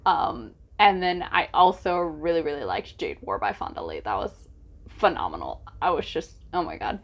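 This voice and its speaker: A woman's voice: deep voice, lively intonation, moderate pace. A woman talking casually as a book vlogger, informal and gushing, with lots of filler asides. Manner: informal, hesitant with frequent "like" asides, gushing emphasis. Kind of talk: casual chatty book vlog.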